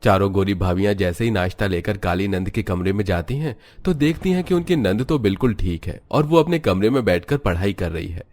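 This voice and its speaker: Casting: male narrator for animated family tales, lower-pitched voice, scripted storytelling. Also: fairly quick, few pauses.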